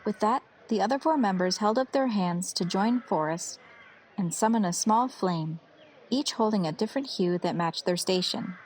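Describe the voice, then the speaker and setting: A woman's voice: mid-range voice, normal speed, darkish tone, slight background noise. A woman reading scripted fantasy story narration as the narrator of an audio series. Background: festival crowd ambience.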